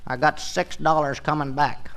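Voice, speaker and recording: An actor playing a cantankerous old country storekeeper with a rural Southern American accent, rambling and homespun in 1940s radio comedy style. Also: male voice, a little high, little pitch movement, moderate pace, a bit bright. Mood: stubborn. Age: older. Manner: rambling, homespun, stammering repeats. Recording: noisy old radio recording with clicks.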